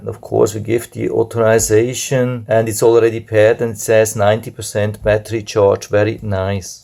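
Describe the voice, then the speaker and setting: Low, evenly pitched man reviewing a product. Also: darkish tone. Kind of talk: casual unboxing and review narration.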